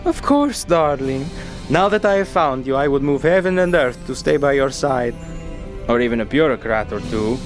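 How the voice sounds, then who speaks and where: A man's voice: medium pitch, expressive, normal speed, soft background music, lightly acted. A man playing a devoted, gallant and protective father and pilot in an audio drama. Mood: tender.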